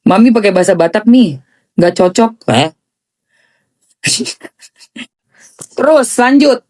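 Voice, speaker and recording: A woman's voice: medium pitch, expressive, slow pace, darkish tone. A woman with an eastern Indonesian accent giving casual, sarcastic gossip commentary, repeating phrases for mockery.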